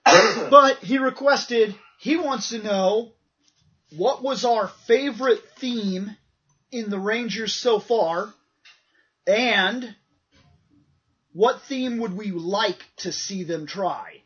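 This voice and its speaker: Husky high-voiced man hosting a chat. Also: slow, pauses often, fairly bright tone. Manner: laid-back, steers the talk. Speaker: podcast host. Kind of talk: casual chat. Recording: dull lo-fi recording.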